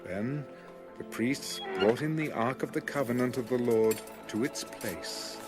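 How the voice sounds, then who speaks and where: A man reading scripture as a scripted audio Bible narration, in a measured, formal way. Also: mid-range voice. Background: music under the reading.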